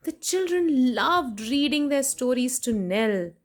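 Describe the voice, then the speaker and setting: Animated woman reading aloud to children. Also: high voice, takes it slowly. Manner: animated, sing-song rhyme reading with playful emphasis. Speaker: children's story reader and host. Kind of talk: expressive read-aloud of a picture book with chatty asides to children.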